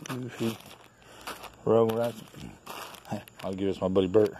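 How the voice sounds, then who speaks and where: A man's voice: on the low side, steady tone, measured pace, warm tone. A middle-aged man casually showing things off in short, folksy, offhand phrases. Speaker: toy collector and store owner hosting a vlog. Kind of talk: casual handheld show-and-tell commentary. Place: outdoors in a backyard and cluttered storage shed. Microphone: handheld phone behind the camera. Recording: clearly noisy outdoor phone recording.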